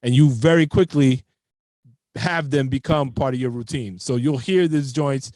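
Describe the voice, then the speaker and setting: A man's voice: mid-range voice, moderate pace, a little mellow, dry recording. A man hosting a podcast, introducing a guest in a loose, enthusiastic style full of hip hop slang, speaking into a studio mic at home. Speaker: podcast host. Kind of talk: casual podcast chat. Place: home room with framed records. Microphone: studio mic on boom arm with headphones.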